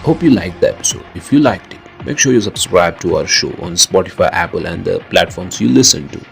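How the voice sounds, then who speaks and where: A man's voice: low voice, lively intonation, fairly quick, creaky, soft background music. A man hosting a podcast, reading scripted credits and announcements. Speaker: podcast host.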